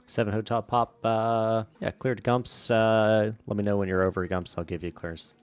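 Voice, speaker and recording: A man acting as a virtual air traffic controller, switching between radio phraseology and casual, relaxed chat to a stream. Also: lowish voice, moderate pace, smooth, faint music behind.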